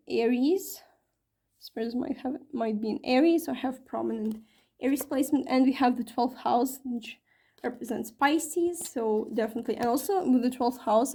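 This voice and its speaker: A woman reads tarot for her viewers in a loose, chatty way, often pausing on fillers and correcting herself. Her voice sits a bit high yet sounds soft and mellow, with a slight strain from talking a long while. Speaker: tarot reader. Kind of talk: casual tarot reading to camera.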